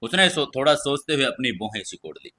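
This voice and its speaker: A man's voice: medium pitch, very animated, fast, pushed and bright. A man hosting and narrating a scripted audio story. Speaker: storyteller and channel host.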